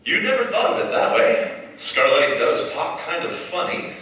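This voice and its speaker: A man's voice: a little high, big pitch swings, moderate pace, sharp tone. A man playing a probing second-person narrator, like an inner conscience, in a staged 1940s-style radio drama. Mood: probing, dramatic. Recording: echoey hall, far mic, faint noise.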